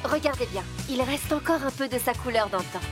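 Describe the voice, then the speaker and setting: A cheerful female mechanic truck from a kids' cartoon, high-voiced and full of swoops. She explains things in an upbeat, helpful way over clear background music. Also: animated, a little fast. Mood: cheerful, instructive. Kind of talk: children's cartoon voice acting.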